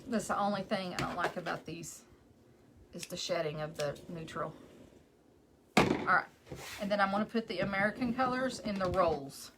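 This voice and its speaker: A woman's voice: on the low side, moderate pace, long pauses, heavy background noise. Chatty West Texas woman crafting live. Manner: chatty, greets viewers by name between steps. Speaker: wreath maker hosting a live stream. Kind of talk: casual chat while crafting.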